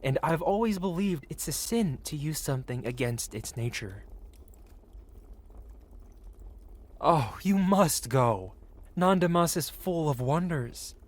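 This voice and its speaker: A man's voice: a little high, expressive, a little slow. A man playing a possessive, wealthy dragon merchant who speaks in formal, flowery, courteous phrases in an intimate fantasy ASMR roleplay. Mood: passionate, fascinated. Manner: formal, flowery, courteous speech addressed to one listener.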